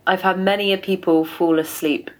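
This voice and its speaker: A young woman co-hosting a podcast in casual chat, soft-spoken but quick to giggle. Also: lowish voice, steady tone. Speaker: podcast co-host.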